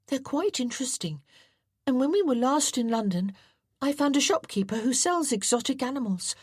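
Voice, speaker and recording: Storybook boy, earnest and formal, voiced by a woman, high, bouncy delivery. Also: lively intonation, normal speed, breathy edge, no echo, studio quality. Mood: eager. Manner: precise, formal and proper. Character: eager, earnest well-bred young boy.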